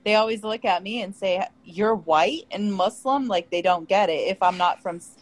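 A woman's voice: medium pitch, moderate pace, rough recording. Woman, plain matter-of-fact chat. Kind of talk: casual group chat.

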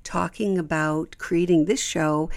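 A woman's voice: on the low side, steady tone, unhurried. A woman hosting a podcast, giving informal thanks and announcements to listeners. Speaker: podcast creator and host.

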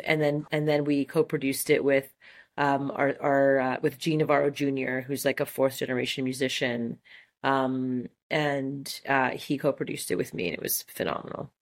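A woman's voice: rumbling low, level tone, normal speed. A woman in her forties from Montreal, a native French speaker, talking enthusiastically as an interview guest with many fillers and restarts. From Montreal, Canada. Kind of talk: casual interview conversation.

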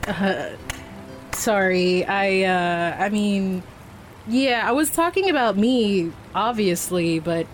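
A woman's voice: mid-range voice, slow pace, faint music behind, lightly acted, rough recording. A woman playing a casual, forthright, teasing barista in a naturalistic fiction podcast. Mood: sheepish. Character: frank, flirty, casual female barista.

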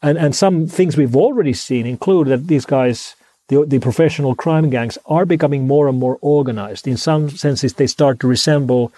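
A man's voice: mid-range voice, moderate pace, mellow, no echo. A man with a Finnish accent, a native Finnish speaker, talking as an interview guest with dry humor and frequent repeated words. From Finland. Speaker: guest author. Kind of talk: conversational interview.

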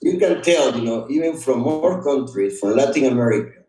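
A middle-aged or older man from Honduras, a native Spanish speaker with a Honduran accent, telling personal stories in a halting, reflective way. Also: medium pitch, lively intonation, dark tone, soft background music. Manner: halting, reflective, often repeats "you know". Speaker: podcast guest. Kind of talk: personal storytelling in conversation. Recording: roomy echo, distant microphone.

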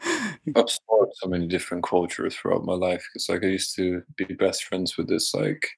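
A young man from Denmark with a mixed Danish, American and British accent, talking casually and haltingly as a guest. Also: sits low, very animated, vocal fry, breathy edge. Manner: halting, searching for words. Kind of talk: casual chat.

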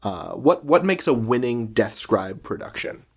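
A man's voice: medium pitch, sing-song, unhurried, vocal fry, slightly rough. A man hosting an interview, putting questions and remarks to a guest in a conversational style. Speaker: podcast host and interviewer.

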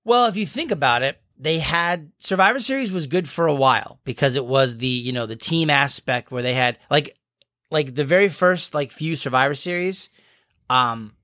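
A man's voice: a little high, lively intonation, moderate pace, pushed and bright, no echo, studio quality. A man co-hosting a casual podcast, chatty and teasing, laughing easily and rambling with fillers. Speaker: podcast co-host.

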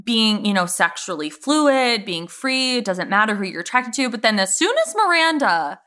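A woman's voice: mid-range voice, very animated, moderate pace, sharp tone. A woman co-hosting a podcast, talking informally and conversationally, with plenty of "like" and "you know". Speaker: podcast co-host. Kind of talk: casual TV recap discussion.

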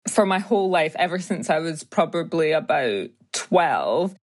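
A woman's voice: low-pitched, sing-song, a little slow. A woman in her thirties from Scotland with a Scottish accent, chatting casually as an interview guest, full of fillers and light laughter. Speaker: guest, YouTube creator.

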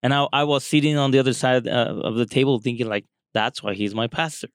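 A man co-hosting a casual podcast, halting and searching for words. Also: mid-range voice, fairly even intonation, clear, resonant tone, dry recording, clean studio sound. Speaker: podcast co-host.

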